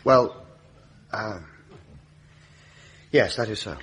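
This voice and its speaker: An actor playing a reticent, defensive young upper-class gentleman, clipped and hesitant, in a radio courtroom drama. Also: fairly low pitch, very slow, slightly rough, heavily acted. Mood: guarded.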